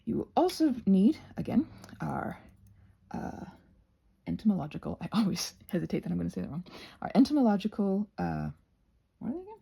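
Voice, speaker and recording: A woman with a lively, swooping delivery and a slightly husky, creaky edge hosts a casual craft tutorial. She rambles chattily through her steps, drifting into playful, self-deprecating asides. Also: mid-range voice, a little fast, pauses often.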